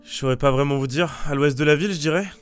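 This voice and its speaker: Man doing a quick, polite young police lieutenant. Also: fairly high pitch, smooth, soft background music, pitched above the performer's own voice, close to an everyday voice. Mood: earnest.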